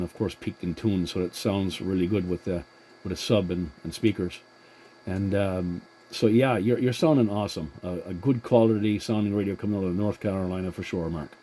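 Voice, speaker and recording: Male CB hobbyist, deep-leaning mellow voice, polite and halting, casual two-way radio chat, slight background noise. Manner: courteous, halting with many hesitations. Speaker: CB radio operator and channel host.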